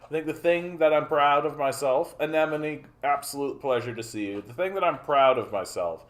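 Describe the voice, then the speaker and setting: A man's voice: a little high, normal speed. 40yo canadian guy chatting on his stream, rambly, lots of uhs, says hi to chat a lot. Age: 40s. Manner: rambling, repeats phrases, many fillers; greets viewers by name. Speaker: livestream host. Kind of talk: casual livestream chat.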